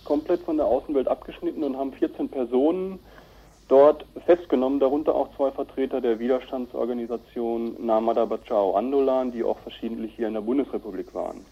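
A man giving a telephone interview, explaining as a spokesman in emphatic, halting phrases. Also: mid-range voice, fairly even intonation, breathy edge. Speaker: human rights organisation director being interviewed. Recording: dull, band-limited telephone line.

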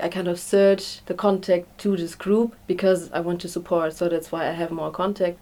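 A woman's voice: on the low side, dark tone. A woman giving unscripted interview answers as an activist, hesitantly searching for her words. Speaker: refugee-support activist being interviewed.

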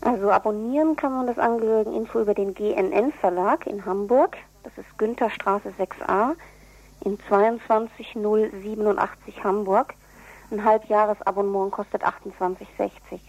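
A woman being interviewed on radio, explaining things in an earnest, deliberate way. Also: mid-range voice, steady tone, darkish tone, rough recording. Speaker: interviewee, activist for prisoners' relatives newsletter.

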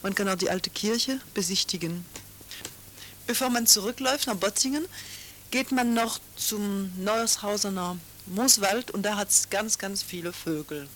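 A woman, making relaxed, chatty announcements on pirate radio with fillers and self-corrections. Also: mid-range voice, a little slow, a little hiss or hum. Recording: old radio broadcast with music underneath.

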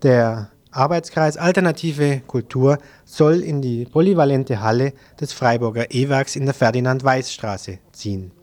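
Mellow-voiced male radio interviewer. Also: mid-range voice, normal speed, hardly any pauses. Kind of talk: scripted report introduction and interview questions.

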